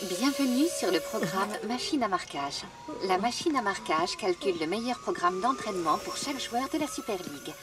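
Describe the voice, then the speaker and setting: A woman's voice: mid-range voice, expressive, moderate pace, close to an everyday voice. Woman acting a distant, formal cartoon computer. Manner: formal, announcing. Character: polite female computer program of a training machine. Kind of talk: French cartoon dub acting. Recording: distant voice under loud music.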